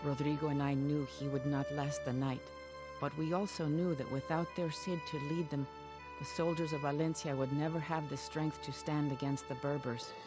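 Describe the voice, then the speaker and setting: An actress playing a proud, grieving medieval Spanish noblewoman, narrating a game cutscene in a solemn, measured, theatrical way. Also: low voice, natural voice. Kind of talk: dramatic scripted cutscene narration. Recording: loud music behind the voice. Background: music.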